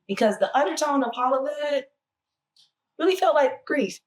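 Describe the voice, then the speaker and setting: A hoarse woman hosting a casual roundtable talk, reflective and searching for words. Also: sits high, expressive, moderate pace. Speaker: podcast host.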